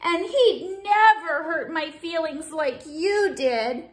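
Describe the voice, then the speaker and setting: A woman voicing a sulky, dramatic shark in a picture-book read-aloud, with petulant, drawn-out delivery. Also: very high-pitched. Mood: hurt, indignant. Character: sulky, dramatic, wounded shark with a hearty appetite.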